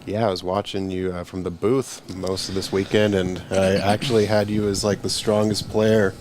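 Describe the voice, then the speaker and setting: A man's voice: deep voice, little pitch movement, normal speed, background noise. A young man commentating on a pool tournament from the booth, easygoing and asking questions with frequent "uh" fillers. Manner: easygoing, asks questions and fills with "uh". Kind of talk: live sports commentary.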